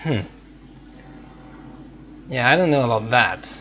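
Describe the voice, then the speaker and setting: A man's voice: mid-range voice, animated, slow, dull audio, a little hiss or hum. A man giving casual let's play commentary, musing and hesitantly thinking aloud. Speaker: gaming video host.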